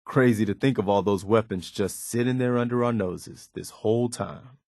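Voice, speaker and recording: An actor playing an earnest, principled militia officer in a video game, sincere and plain-spoken, loyal yet easily disappointed. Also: male voice, lowish voice, normal speed, darkish tone, dry recording, studio quality, lightly acted. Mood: resigned, doubtful. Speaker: video game companion character.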